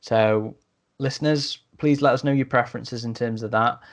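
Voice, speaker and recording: A man's voice: medium pitch, steady tone, normal speed. A man hosting a podcast in a casual, chatty, matey way, speaking informally to listeners. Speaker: podcast host.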